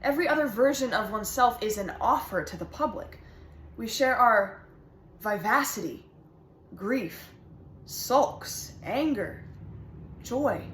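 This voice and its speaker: A woman performing an intense, reflective lover in a dramatic stage monologue, in weighted phrases with deliberate pauses. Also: a little high, expressive, a bit bright, slight background noise. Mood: earnest, intimate. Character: intense lover reflecting on intimate knowledge and loss. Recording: echoey room.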